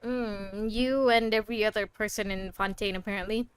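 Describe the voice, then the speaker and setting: A woman's voice: medium pitch, fairly even intonation, normal speed, clean tone, dry recording, low-quality recording. A woman doing casual game playthrough commentary, playfully teasing and talking back to the characters on a close microphone. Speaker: game streamer.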